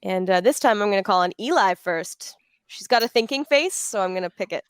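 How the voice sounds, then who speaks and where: A woman's voice: mid-range voice, animated, brisk, clean tone, no room sound. A woman moderating a casual panel discussion in an encouraging, affirming way. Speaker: panel moderator and podcast creator.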